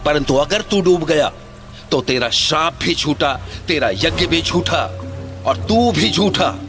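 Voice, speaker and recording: A man's voice: fairly high pitch, lively intonation, slow, a bit bright, pitched above the performer's own voice. A man voicing scornful, angry villagers who mock and accuse in a horror story. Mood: mocking anger. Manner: mocking, jeering, accusatory. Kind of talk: dramatic animated horror story acting. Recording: music behind the voice.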